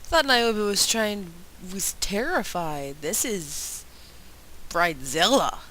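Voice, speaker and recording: Female let's play commentator, casual, very unhurried and bright, faint noise with clicks. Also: mid-range voice, expressive, poor audio. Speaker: game commentator.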